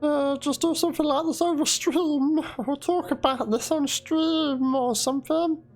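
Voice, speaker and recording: A performer voicing a hesitant, evasive streamer in a dramatic reading, halting and full of ums, with a squeaky high voice. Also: fairly even intonation, darkish tone. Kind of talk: dramatic reading of chat messages. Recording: music under the voice.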